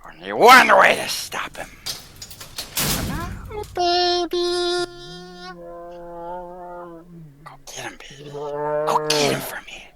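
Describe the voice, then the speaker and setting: A woman's voice: deep voice, slow pace, pauses often, sharp tone, slight background noise, voice pushed down, exaggerated voice. A woman voicing a sinister, desperate circus tiger trainer in a radio play, drawing words out and swinging between whispers and cries. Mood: sinister, crooning. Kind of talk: live old-time radio play table read.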